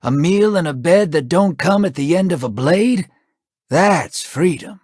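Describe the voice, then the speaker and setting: A wry, world-weary drifter. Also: male voice, a little high, animated, unhurried, darkish tone, dry recording, clean studio sound. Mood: sardonic. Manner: drawling, wry and sardonic. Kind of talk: video game voice acting.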